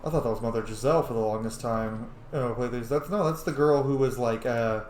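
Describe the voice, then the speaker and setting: A man's voice: medium pitch, a little fast, darkish tone. A man doing casual, profane commentary as he plays a fantasy roleplay game, reacting aloud to what happens. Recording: roomy, faint background noise.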